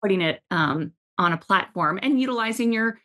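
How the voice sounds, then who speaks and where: A woman's voice: mid-range voice, lively intonation, moderate pace, a bit bright. A woman hosting a podcast interview, gushing with praise and often restarting her sentences in enthusiastic chat. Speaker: podcast host.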